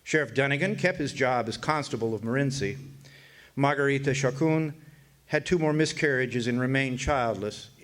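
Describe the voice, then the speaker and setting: Radio play narrator: a man as a weathered, folksy older drifter, reflective and halting, mid-range voice. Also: mid-range voice, fairly bright tone, lightly acted. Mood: reflective, matter-of-fact. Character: world-weary older male hobo narrator, reflective war veteran. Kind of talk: radio drama narration, reminiscing.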